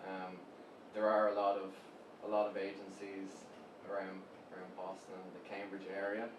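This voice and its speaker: An easygoing young Irish man chats through an interview, pausing on fillers and repeating himself. His voice sits a little low and sounds distant from the mic in a roomy space, with steady noise behind him. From Ireland. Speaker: guest, web agency co-founder. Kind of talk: casual interview talk.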